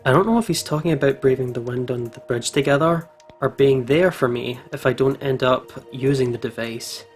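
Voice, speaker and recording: Expressive man reading a visual novel aloud. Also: mid-range voice, normal speed, faint music behind. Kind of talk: expressive narration and playful commentary.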